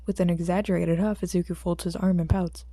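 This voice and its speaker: A woman narrating a fiction audiobook from a script, with expressive delivery. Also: fairly low pitch, brisk, no room sound, clean studio sound.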